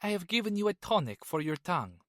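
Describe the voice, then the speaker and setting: Man doing a ruthless female operative, raised pitch, lively melody, clipped commands, dry sound. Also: fast talker, no echo. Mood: cold. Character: cold, ruthless young woman operative. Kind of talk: audiobook character voice.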